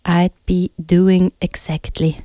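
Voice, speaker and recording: A woman's voice: on the low side, flat delivery, slow. A woman with a Swiss German accent presenting music casually and unscripted on radio, often hesitating as she searches for words.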